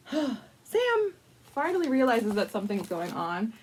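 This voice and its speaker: A woman hosting a casual storytime, chatty and informal, with playful, dramatic emphasis and drawn-out words as she tells a picture-book story. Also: on the high side, very animated, slight background noise. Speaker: librarian storytime host.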